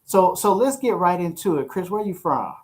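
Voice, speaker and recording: Higher-voiced American man hosting casual chat. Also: animated, moderate pace, darkish tone. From St. Louis, USA. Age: middle-aged. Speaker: podcast host.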